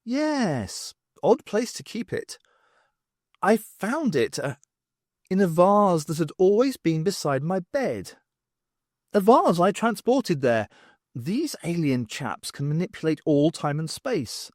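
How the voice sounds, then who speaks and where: A man's voice: high-pitched, very animated, takes it slowly, darkish tone, dry recording, studio quality, voice pushed up, exaggerated voice. A man voicing a fussy, blustering artist in an audiobook, rambling on in an airy, apologetic way. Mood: musing.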